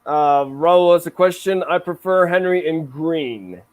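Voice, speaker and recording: Casual stream guest, man, slightly high voice, chatty, laughs a lot. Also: expressive, a little slow. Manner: chatty, laughs often, restarts sentences. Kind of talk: casual chat.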